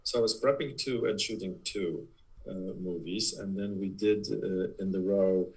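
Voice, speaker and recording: A low, husky-voiced man in his sixties speaks English with a Polish accent. He talks haltingly as an interview guest, filling pauses with uh and restarting his sentences. His tone stays deep and slightly breathy throughout. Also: low-quality recording. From Poland. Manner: halting, many fillers and restarts. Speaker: guest, production designer. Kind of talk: casual interview.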